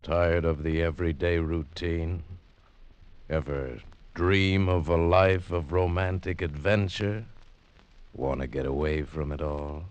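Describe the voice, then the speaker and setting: A man giving dramatic 1940s radio narration, with a measured, ominous and intense delivery. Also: low voice, clear, resonant tone, no room sound, highs cut off.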